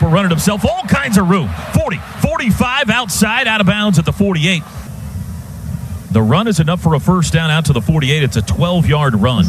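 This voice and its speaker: A man calling American football play-by-play on radio in clipped, rapid, excitable phrases. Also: sits high. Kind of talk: live sports commentary. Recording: outdoor stadium radio booth, clear background noise.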